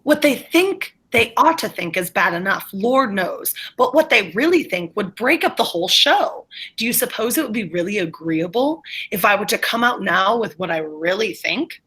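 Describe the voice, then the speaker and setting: A woman's voice: mid-range voice, lively intonation, moderate pace, few pauses, a bit bright, slightly rough. A woman reading the part of a blunt, exasperated male professor in a classroom reader's theatre, over a video call, with brusque, scornful outbursts.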